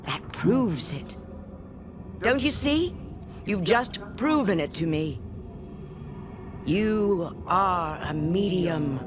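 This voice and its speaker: Casting: a cold, obsessive female schoolteacher, lowish voice that drills and commands, radio play with prominent music underneath. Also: expressive, extremely slow, pauses often. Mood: cold, accusing. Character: stern, domineering female schoolteacher, coldly obsessive. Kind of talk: vintage-style dramatic radio acting.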